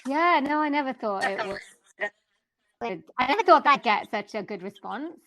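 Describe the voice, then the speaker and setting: A woman's voice: sits high, animated, normal speed, sharp tone, no echo. A Welsh-accented woman chatting as a guest about her voiceover work. She explains things in a loose, chatty way, with plenty of "um"s and "like"s. Her voice sounds dry and close, with no room behind it. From South Wales, UK. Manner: chatty, filler-laden explaining. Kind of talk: casual interview chat.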